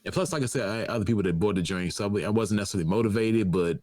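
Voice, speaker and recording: A man with a deep, low voice rattles through his thoughts quickly on a casual podcast. His talk is loose slang, full of "you know what I'm saying" fillers. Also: darkish tone. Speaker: podcast co-host. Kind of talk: casual chat.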